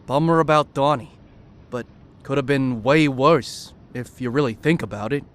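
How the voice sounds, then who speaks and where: A man's voice: medium pitch, moderate pace, close to an everyday voice. Laid-back, slangy dude man acting. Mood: easygoing, supportive. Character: easygoing male surfer-slang buddy, supportive. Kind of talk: fan audio drama acting.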